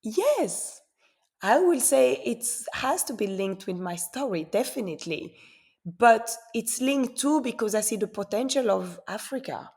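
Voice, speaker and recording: An earnest woman guest in a podcast interview, her voice full of gravelly fry. She was born in Congo and grew up in Belgium and Switzerland. She speaks reflectively and sometimes repeats a word before going on. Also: fairly high pitch, animated, a little slow, darkish tone. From born in Congo, raised in Belgium and Switzerland. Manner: earnest, repeats words while searching for them.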